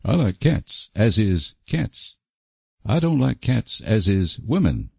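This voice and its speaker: A man's voice: on the low side, very animated, slow, creaky, slightly rough, no room sound, clean studio sound, voice pushed up, heavily acted. A man voicing a solemn, plain-spoken country man in a storybook, with a dour, folksy manner. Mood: cryptic. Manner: folksy, dour rustic talk. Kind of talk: audiobook character voice.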